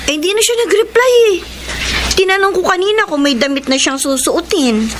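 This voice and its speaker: An actress playing an eager, respectful teenage daughter in a radio drama, with a youthful, coaxing manner. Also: female voice, very high voice, animated, fairly quick, noisy background. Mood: helpful. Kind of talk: Tagalog radio drama acting.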